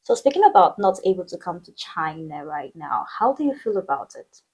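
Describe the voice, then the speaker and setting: A woman's voice: fairly low pitch, sing-song, brisk, darkish tone, breathy edge. A woman hosting her own vlog channel, talking casually and upbeat, speaking straight to her viewers and interviewing a guest. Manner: chatty, upbeat, addresses viewers directly. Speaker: vlog host. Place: indoors in China.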